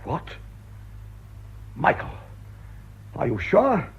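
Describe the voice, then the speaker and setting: A man's voice: on the high side, huge pitch swings, extremely slow, breathy edge, highs cut off, exaggerated voice. An actor playing an anxious, well-spoken English clergyman in a British radio drama, hesitant and fretful. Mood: shaken, urgent. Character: flustered, anxious cathedral canon, earnest married clergyman.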